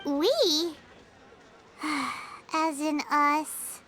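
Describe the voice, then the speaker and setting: A woman's voice: high-pitched, little pitch movement, drawn-out words, fairly bright tone, full character voice. A woman voicing a tiny childlike female sprite companion in a video game, whiny, blunt and easily spooked. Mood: wry. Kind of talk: video game character acting. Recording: music under the voice.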